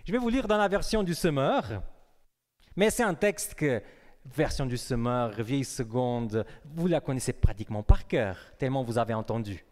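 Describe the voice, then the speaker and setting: Young pastor preaching in an echoing hall. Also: male voice, medium pitch, lively intonation, normal speed, darkish tone. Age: young adult. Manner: conversational, asks the congregation questions. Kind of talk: sermon. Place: church hall. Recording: long reverb of a hall.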